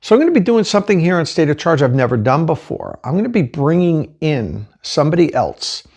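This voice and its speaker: A man hosting his own channel, giving an earnest, measured scripted introduction. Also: on the high side, big pitch swings, darkish tone, slightly rough. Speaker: channel host.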